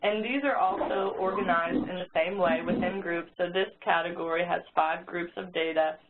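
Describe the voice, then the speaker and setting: A woman's voice: fairly low pitch, fairly even intonation, normal speed. A woman giving a step-by-step walkthrough presentation on a conference call. She often restarts her sentences and uses fillers as she explains. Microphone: phone or conference line. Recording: tinny conference-call phone line with faint noise.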